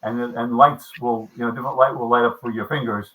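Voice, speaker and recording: Man, 70s, bright tone, rambling, halting restarts, casual meeting chat. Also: mid-range voice, steady tone, poor audio. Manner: rambling, many restarts and fillers. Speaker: maker club member.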